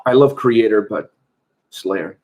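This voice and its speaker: A man's voice: lowish voice, animated, slow pace, lo-fi sound. A man from Portugal hosting a casual livestream, chatting and answering viewer questions. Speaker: host.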